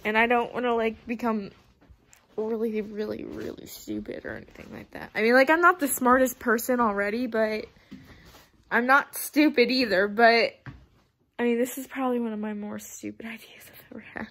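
A woman's voice: on the high side, fairly even intonation, normal speed, fairly bright tone. A teenage girl vlogging in a rambling, giggly, jokey way, chatting casually into a phone held at arm's length at home. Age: teens. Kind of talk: casual chat to camera. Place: rooms at home.

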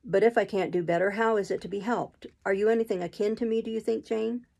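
Brooding gentleman master read by a woman, middle-aged man, earnest, gravelly undertone, classic audiobook. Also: medium pitch, steady tone, fast talker. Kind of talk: audiobook reading of a classic novel.

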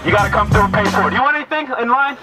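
A man's voice: high voice, animated, very fast. A young American man bantering in brash, playful slang as he hosts a street prank video, often into a megaphone. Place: outdoors at drive-thrus and inside a car. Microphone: camera mic nearby, often speaking into a megaphone. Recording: loud outdoor drive-thru with music behind.

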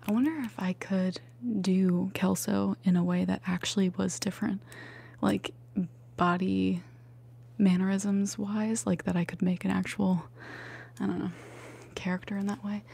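A woman in her mid-twenties doing ASMR for live viewers in a soft, breathy whisper, chatting gently and repeating words. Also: mid-range voice, flat delivery, measured pace. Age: 20s, mid-twenties. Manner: gentle repetition of words and sounds.

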